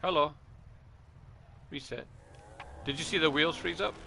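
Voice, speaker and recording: A man giving casual, relaxed commentary while playing a racing game, talking half to himself. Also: a little high, unhurried, vocal fry, a little hiss or hum. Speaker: gaming streamer.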